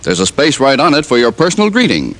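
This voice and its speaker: A man's voice: medium pitch, huge pitch swings, fast talker, noisy background. A man reading a radio ad in an upbeat, persuasive way. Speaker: announcer. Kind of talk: radio ad read.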